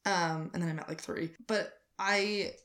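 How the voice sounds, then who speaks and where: Woman co-host, deeper slightly raspy voice with a lingering cold; frank, chatty, easygoing. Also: steady tone, measured pace, a bit bright. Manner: frank, conversational, many fillers. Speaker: podcast co-host. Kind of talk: casual chat.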